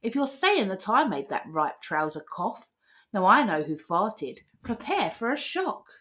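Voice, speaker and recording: Dingo, voiced by a woman: brash, defensive, protesting, raised swooping pitch. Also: big pitch swings, unhurried, a bit bright.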